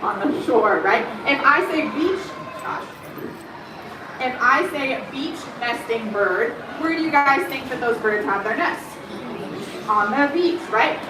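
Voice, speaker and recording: A woman giving a lively, chatty talk on coastal birds to a live audience, asking the crowd questions and filling gaps with ums. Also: high voice, slow pace. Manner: says she has a loud voice. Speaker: bird conservation educator. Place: room at an aquarium. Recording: echoey room, far from the mic, background noise.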